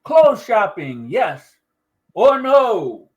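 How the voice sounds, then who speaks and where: A man hosting a podcast, talking in a relaxed, easygoing way and drawing out his phrases. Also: high voice, drawn-out words, lo-fi sound. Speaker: podcast host. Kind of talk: casual podcast chat.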